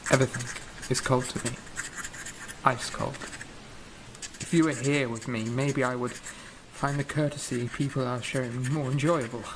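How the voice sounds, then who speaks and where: An actor playing a tender, lovesick young composer in an audio drama, reading out a letter with romantic yearning. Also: male voice, mid-range voice, background noise, lightly acted.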